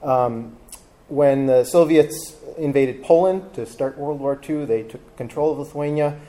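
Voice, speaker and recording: A man giving a casual live talk to an audience, relaxed and hesitant, with frequent ums. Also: medium pitch, unhurried. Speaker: travel talk presenter. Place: live talk before a seated audience with drinks.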